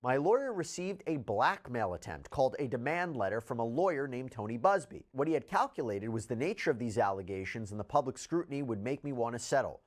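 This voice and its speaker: A man with an average-pitched voice speaks in the style of a news report. He reads out statements in a measured, deliberate way, putting weight on important phrases. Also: lively intonation. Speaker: news commentator.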